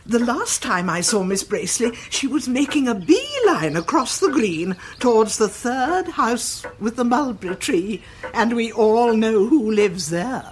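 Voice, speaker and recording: An actress playing a nosy, chattering older village gossip with an English accent in a radio comedy drama. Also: female voice, a little high, sing-song, measured pace, hardly any pauses, darkish tone. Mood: gleeful gossip.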